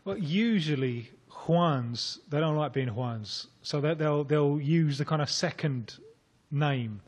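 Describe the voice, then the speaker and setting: A man's voice: fairly high pitch, takes it slowly, husky. A man speaking as a football expert on a radio show, explaining points in a wry way. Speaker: football expert on South America. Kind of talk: radio discussion.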